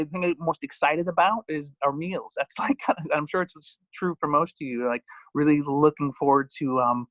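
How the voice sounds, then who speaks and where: A man's voice: mid-range voice, a bit bright, no room sound. A man giving an author's reading of his own poems and stories, with informal asides. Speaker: poet and author. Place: at home. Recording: dull, muffled lo-fi sound.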